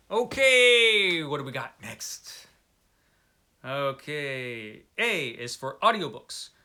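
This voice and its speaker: A man's voice: a little high, sharp tone. A man talking casually as a video host, giving his opinions with emphasis and repeating points to stress them. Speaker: booktuber hosting a book tag video. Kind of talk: casual chat to camera, opinionated book talk.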